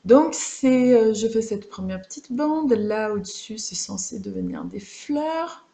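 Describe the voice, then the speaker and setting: A woman chatting casually and without a script on a personal craft vlog, reflective and self-deprecating, often pausing to find her words. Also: a little high, sing-song, warm tone. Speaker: craft vlogger.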